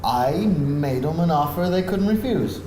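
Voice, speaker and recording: Male actor, scheming smug father, theatrical, animated intonation, live table read, echoey room. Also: fairly high pitch, a little slow. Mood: mock menacing. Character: smug scheming father who blackmails rival parents. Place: live event room with audience. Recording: echoey room, faint noise.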